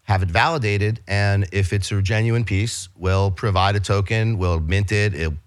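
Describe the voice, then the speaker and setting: A man's voice: fairly low pitch, fairly even intonation, normal speed. A man with a New York accent, a business guest talking in a casual interview, eagerly explaining his company with many restarts and fillers.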